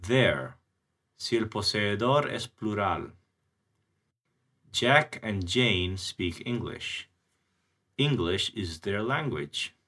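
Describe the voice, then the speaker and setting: Man teaching grammar super slowly, low voice, bright tone, every word spelled out. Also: lively intonation. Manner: very slow, deliberate, clearly enunciated teaching delivery. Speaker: English teacher. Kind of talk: language lesson explanation.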